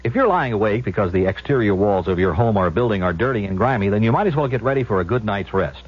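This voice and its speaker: A man with a husky voice reading a scripted radio commercial as an announcer. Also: lowish voice, animated, fast talker, slight background noise. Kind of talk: scripted vintage radio ad read. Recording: muffled vintage radio broadcast.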